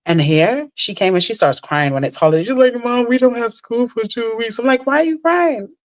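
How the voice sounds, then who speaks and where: A middle-aged woman chatting as a guest, telling stories lively and leaping up in pitch when she quotes people. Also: brisk. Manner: animated storyteller, jumps high when quoting others. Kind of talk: casual chat.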